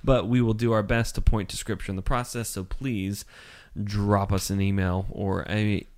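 A man co-hosting a podcast in casual chat. He has a mild speech impediment and rambles and jokes with quick asides. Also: fairly low pitch, flat delivery, a little mellow. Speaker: podcast co-host.

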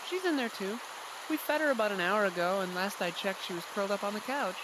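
A woman voicing a sweet, overly hospitable woman in an audio drama, speaking softly and syrupy, with heavy rain behind. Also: medium pitch, quick pace, background noise, close to an everyday voice. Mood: sweetly welcoming. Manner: soft, syrupy, overly welcoming.

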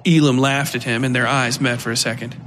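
A man narrating scripted dark horror fiction as a podcast storyteller. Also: medium pitch, steady tone, a little fast, slight background noise.

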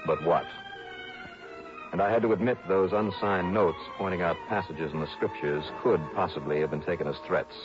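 Male radio detective, acted, low-pitched, level-headed and businesslike, on a muffled vintage broadcast with music. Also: natural voice. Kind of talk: 1960s radio detective drama acting and narration.

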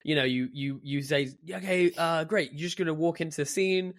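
A man's voice: on the high side, fairly even intonation, normal speed. A man hosting a podcast in casual interview chat, with an effusive, enthusiastic manner. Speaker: podcast host.

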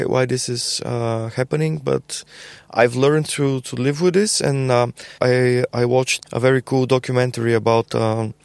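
A young adult man with a Bulgarian accent, a podcast guest talking casually in a halting way with many fillers and repeated words. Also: mid-range voice, vocal fry, a little mellow, dry recording. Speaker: podcast guest, label co-founder. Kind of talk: casual conversational interview.

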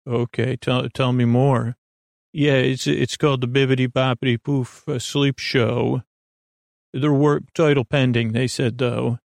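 A man hosting a bedtime podcast in a meandering, halting monologue, repeating himself and trailing off. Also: medium pitch, level tone, vocal fry, a little mellow, dry recording, studio quality. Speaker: sleep podcast host.

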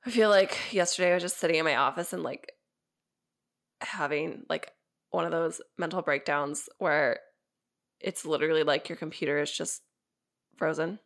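A young woman co-hosting a podcast in casual chat, sounding congested and stuffy as she gets over an illness, rambling with lots of fillers and quick laughs. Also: deep voice, gravelly. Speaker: podcast co-host.

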